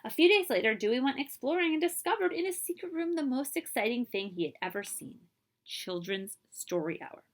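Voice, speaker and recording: A woman reading a children's picture book aloud as a librarian, with expressive, emphatic delivery and dramatic pauses. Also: high-pitched.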